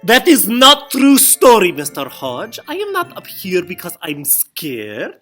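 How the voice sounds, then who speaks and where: A woman's voice: mid-range voice, wildly swooping pitch, measured pace, fairly bright tone, faint music behind, full character voice. A woman voicing a boastful, touchy male hedgehog puppet who speaks broken English without articles. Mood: indignant.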